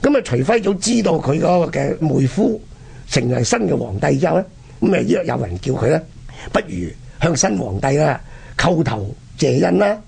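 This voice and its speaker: A man with a Hong Kong Cantonese accent and a husky, gravelly voice, telling history conversationally and dramatically as a radio presenter. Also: on the high side, big pitch swings, normal speed, darkish tone. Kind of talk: animated conversational history storytelling.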